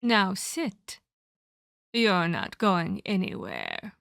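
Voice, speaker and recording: Lady doing a creepy old ghost girl for horror asmr, really slow and breathy, wistful but menacing, super dry close sound. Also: medium pitch, wildly swooping pitch, fairly bright tone, no echo, heavily acted. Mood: commanding, menacing. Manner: slow, deliberate, theatrical storytelling. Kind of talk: ASMR horror roleplay monologue.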